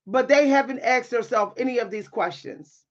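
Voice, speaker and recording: A woman with a deep, at times loud voice, speaking in a bold, sassy way with dry humor and repeating phrases for emphasis in a motivational monologue. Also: moderate pace, dull audio. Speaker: spiritual content creator and writer.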